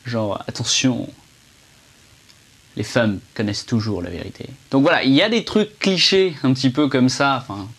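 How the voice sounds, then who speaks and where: A man's voice: mid-range voice, lively intonation, normal speed, fairly bright tone, breathy edge. A young man giving a casual, rambling film review, chatty and full of fillers and restarts, speaking indoors to a camera under a ring light.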